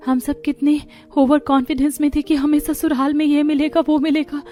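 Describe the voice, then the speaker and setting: A woman voicing young, expressive newlywed sisters in dramatized story dialogue. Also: sits high, moderate pace, faint music behind, natural voice. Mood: complaining.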